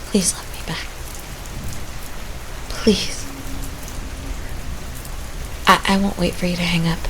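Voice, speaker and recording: A woman's voice: lowish voice, creaky, background noise. A woman playing a shy, lovesick stalker confessing to a coworker in an audio roleplay, stammering, repeating and pleading. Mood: hushed, yearning. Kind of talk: immersive roleplay audio drama monologue.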